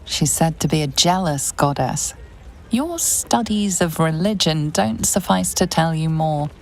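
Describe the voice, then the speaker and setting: A woman's voice: deep voice, expressive, unhurried, creaky, heavily acted. A woman performing as a solemn storyteller narrating a fantasy game in a scripted style. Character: solemn low-voiced female storyteller narrator.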